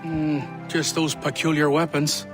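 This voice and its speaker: Actor as crusty old Irishman, higher-pitched voice. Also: flat delivery, a little slow, natural voice. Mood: gruff, grudging. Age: older. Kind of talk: video game cutscene acting. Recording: dramatic music behind the voice.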